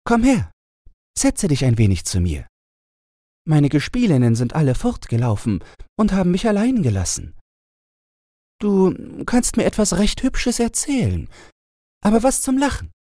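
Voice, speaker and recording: A man's voice: high-pitched, wildly swooping pitch, a little slow, pauses often, dark tone, no room sound, studio quality, voice pushed up, full character voice. A man voicing a cheerful young fairy-tale princess with playful, naive, bossy chatter. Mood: playful, inviting.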